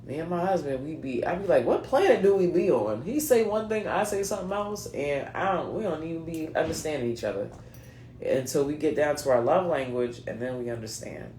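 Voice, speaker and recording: A woman's voice: low-pitched, lively intonation, brisk, dark tone, reverberant, a little hiss or hum. A woman in her late thirties with a mixed US East Coast accent, giving a chatty, slangy card reading straight to her viewers. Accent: mixed US East Coast (New England, Philadelphia, North Carolina, Delaware). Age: late 30s. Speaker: card reader.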